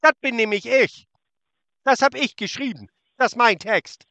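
A man's voice: extremely high pitch, normal speed, gravelly, pushed and bright, no room sound. A man on a prank call, mocking and insulting a scammer and talking over him. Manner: taunting, insulting, talks over the other. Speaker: prank caller baiting a scammer.

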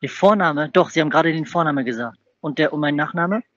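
A mocking man who keeps hammering the same question in a slightly high voice. The sound is dry and a little dull, with no room around it. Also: quick pace, a bit bright, dry recording. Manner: mocking, repeats questions insistently. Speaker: prank caller baiting scam callers. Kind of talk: confrontational phone prank chat.

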